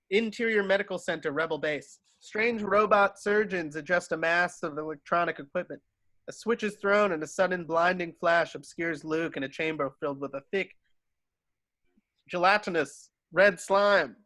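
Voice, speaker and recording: A man's voice: sits high, sharp tone, no echo. A man narrating screenplay stage directions from a script at a table read.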